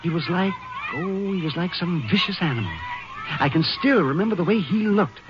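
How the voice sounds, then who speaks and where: High-pitched, fretful school principal. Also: male voice, animated, moderate pace. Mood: uneasy, fretful. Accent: American. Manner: fretful, hesitant, stammering. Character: nervous, fussy small-town male school principal. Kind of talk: 1950s radio drama acting. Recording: noisy, muffled old radio broadcast recording.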